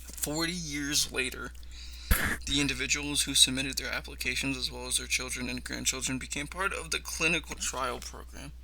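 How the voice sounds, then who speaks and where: A man's voice: mid-range voice, fairly even intonation, normal speed, sharp tone, a little hiss or hum, low-quality recording. A man doing casual reaction commentary and reading text aloud, often stumbling over words and correcting himself. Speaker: reaction video host.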